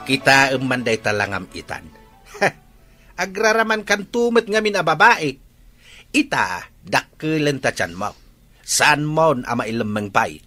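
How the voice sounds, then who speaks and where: A man's voice: medium pitch, big pitch swings, a little slow, long pauses, bright, forceful tone, soft background music, exaggerated voice. An actor playing an angry, scornful father in a Filipino radio drama, with an Ilocano accent. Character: angry, scornful father scolding his daughter.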